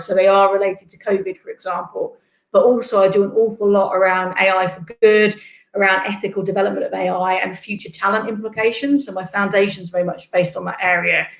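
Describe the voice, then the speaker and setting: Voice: mid-range voice, little pitch movement, fast, gravelly, roomy sound, poor audio. A guest speaker chatting casually on a video call, friendly and repeating words as thoughts start.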